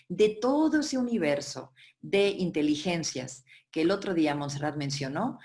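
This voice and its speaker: Low-voiced woman giving a webinar lecture. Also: darkish tone. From Barcelona, Spain. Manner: explanatory, with frequent check-ins and filler "eh". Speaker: workshop facilitator.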